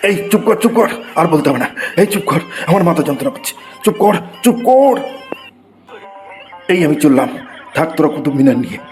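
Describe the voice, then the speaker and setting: A man's voice: on the high side, sing-song, brisk, long pauses, a little mellow, faint music behind. A man voicing an exasperated schoolteacher who scolds his pupils in a cartoon. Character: exasperated adult male schoolteacher. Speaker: teacher.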